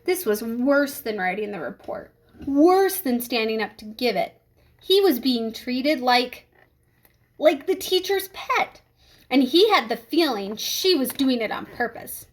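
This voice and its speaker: A woman narrating a children's novel aloud as a storybook reader, with expressive scripted delivery. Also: fairly high pitch, unhurried.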